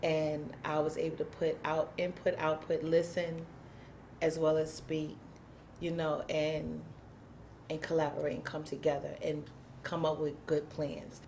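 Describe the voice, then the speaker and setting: A woman's voice: deep voice, little pitch movement, measured pace, gravelly, darkish tone, a little hiss or hum. A woman, a community housing activist, telling her story candidly in an interview, emphatic and plain-spoken, acting out what people said.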